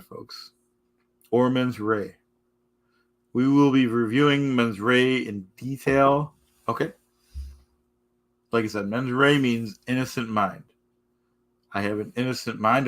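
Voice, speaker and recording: Hoarse man talking on a live stream. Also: medium pitch, fairly bright tone. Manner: repetitive, keeps saying "folks" and "okay"; halting, restarts often. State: losing his voice. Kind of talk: casual live-stream monologue.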